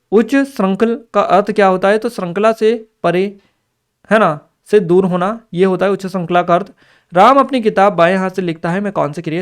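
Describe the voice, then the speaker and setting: Guy, pretty high voice, going through exam questions like a coaching teacher, lists stuff over and over. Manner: instructional, repetitive listing with check-in tags. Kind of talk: exam paper analysis lecture, reading out questions and answers.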